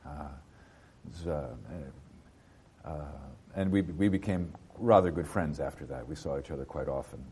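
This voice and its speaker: A man in his fifties from New Jersey, a bookseller being interviewed, speaking in a halting way with many fillers and restarts. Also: very deep voice, darkish tone, breathy edge. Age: 50s. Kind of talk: casual interview.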